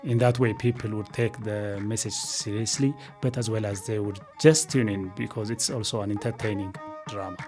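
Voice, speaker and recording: A man's voice: on the low side, steady tone, moderate pace. A man explaining a creative process in an interview. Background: clear music.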